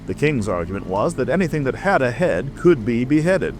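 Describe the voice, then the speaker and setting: A man's voice: mid-range voice, very animated, fairly quick. A man narrating a storybook as a dramatic, scripted audiobook reading. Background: music.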